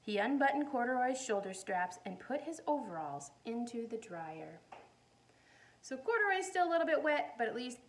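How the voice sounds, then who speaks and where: A woman's voice: a little high, big pitch swings, moderate pace, slight background noise. A woman reading a children's storybook aloud like a teacher, expressively, with questions and simple explanations for her listeners.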